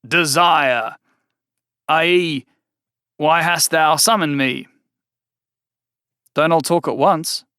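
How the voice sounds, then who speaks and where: A man voicing a theatrical, sardonic eldritch demon in a drawn-out, booming delivery. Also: steady tone, pushed and bright, smooth, no echo, studio quality, full character voice. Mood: impatient.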